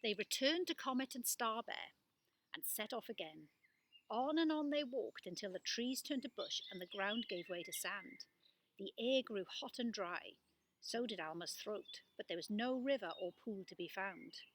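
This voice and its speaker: A woman reading a children's storybook aloud as a storyteller, with expressive, dramatic delivery. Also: mid-range voice, moderate pace, a little hiss or hum, poor audio.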